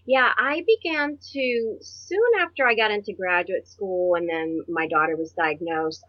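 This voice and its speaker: Middle-aged woman from Puerto Rico, interview guest, earnest and reflective, a little breathy, dry and dull lo-fi sound. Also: on the low side, dry recording. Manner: earnest and reflective, laughs easily. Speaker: guest, author and publisher. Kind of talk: personal storytelling in an interview.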